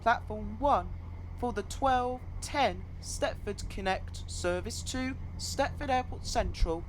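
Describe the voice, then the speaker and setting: A woman's voice: on the high side, slow, low-quality recording. A woman reading scripted train platform announcements in a formal manner, in stitched-together phrases. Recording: clear background noise, vehicle ambience.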